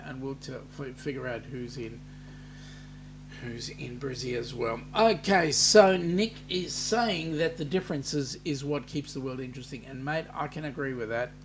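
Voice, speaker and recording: An Australian man hosting a casual live-stream chat, reading viewer comments aloud in an unhurried way with plenty of ums. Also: mid-range voice, creaky, a little hiss or hum, low-quality recording.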